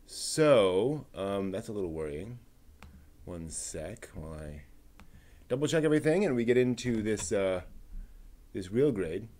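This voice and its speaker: A man's voice: on the low side, expressive, a little mellow. A man hosting a livestream, chatting casually and thinking aloud while he assembles a model kit. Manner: unhurried, thinking aloud, muttering to himself.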